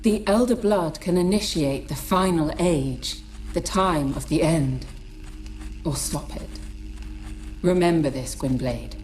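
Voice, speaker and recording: A woman's voice: low voice, takes it slowly, a little mellow, a little hiss or hum. An actress playing a cryptic female seer in a video game, grave and portentous. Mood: solemn, foreboding.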